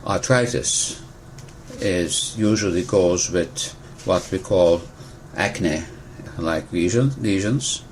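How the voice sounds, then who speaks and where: A man from Turkey, a physician calmly explaining a disease in an interview, precise and pausing to rephrase. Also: deep voice, a little hiss or hum, low-quality recording. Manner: measured, precise, pauses to rephrase. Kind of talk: explanatory medical interview answers.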